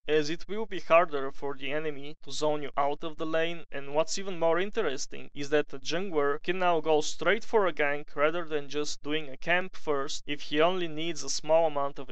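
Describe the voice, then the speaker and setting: A man's voice: a little high, normal speed, few pauses, a bit bright, clear, resonant tone, no room sound, rough recording. A man with a Bulgarian accent giving casual, opinionated voice-over commentary on a game update, now and then swearing.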